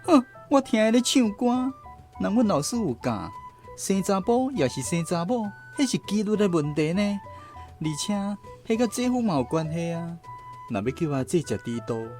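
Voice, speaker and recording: Cheeky, high-voiced Taiwanese schoolboy. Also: male voice, sing-song, moderate pace, darkish tone, faint music behind. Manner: teasing, exclamatory. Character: cheeky, playful young uncle, a schoolboy. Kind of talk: radio drama acting.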